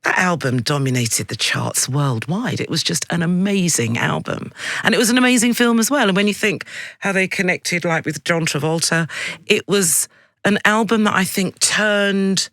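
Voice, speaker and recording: A man's voice: high-pitched, expressive, moderate pace, fairly bright tone, no room sound. A man hosting a radio interview in a studio, speaking in a direct, effusive, emphatic way. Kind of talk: broadcast interview chat.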